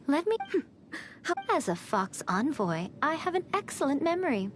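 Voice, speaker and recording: Sly, high-voiced fox spirit lady. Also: very animated, a little slow, a little hiss or hum. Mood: playful, teasing. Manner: teasing, theatrical, knowing. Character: sly, teasing, elegant ancient fox envoy woman. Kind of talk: dramatic video game voice acting. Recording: music behind the voice.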